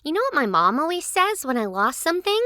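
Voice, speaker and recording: High, animated bossy young girl. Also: quick pace, no room sound, clean studio sound, heavily acted. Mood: determined, teasing. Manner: playful, bossy, expressive. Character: bold, teasing, determined young girl. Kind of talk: children's audio drama acting.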